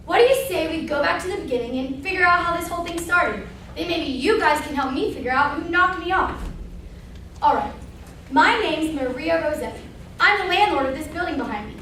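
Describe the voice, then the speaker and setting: A woman playing a high-voiced, wry landlady ghost in echoey hall. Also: a bit bright. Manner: chatty asides to the audience, exasperated, colloquial. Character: wry, blunt murdered landlady narrating her own death, female. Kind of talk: amateur stage comedy acting. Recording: echoey stage recorded from far, faint noise.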